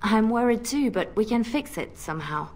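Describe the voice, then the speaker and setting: A woman playing an anxious but hopeful young bard spy in a fantasy video game, speaking gently and earnestly. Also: fairly high pitch, expressive, moderate pace. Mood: worried, hopeful. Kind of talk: video game cutscene acting.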